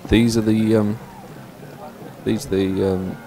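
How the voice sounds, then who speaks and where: A man giving live sports commentary as presenter, speaking in a halting, hesitant way. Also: fairly low pitch, flat delivery, mellow, rough recording.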